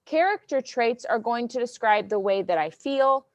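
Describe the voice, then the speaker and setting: Brief: dry-sounding woman teacher, loud and playful, keeps kids on track. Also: a little high, lively intonation, normal speed, dry recording. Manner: loud and goofy, checks in with "okay?". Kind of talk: classroom lesson to children.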